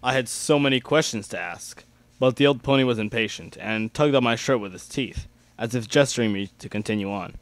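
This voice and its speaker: A young man narrates fan fiction as an amateur dramatic reader. His pitch is average for a man. The recording is dry, with no room sound. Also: fairly quick, no echo. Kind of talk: dramatic reading of first-person fan fiction.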